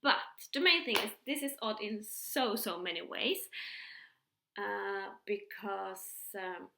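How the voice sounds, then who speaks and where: A woman's voice: mid-range voice, takes it slowly, vocal fry, echoey room, noisy background. A middle-aged woman from Finland with a Finland-Swedish accent, hosting a casual podcast chat in English, hesitant, with many fillers and restarts. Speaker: podcast host. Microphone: computer mic.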